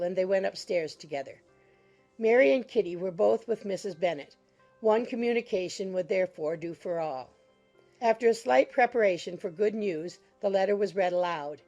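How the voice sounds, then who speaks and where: A woman's voice: mid-range voice, dull audio. A woman reading literary narration for a dramatized audiobook. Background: soft music.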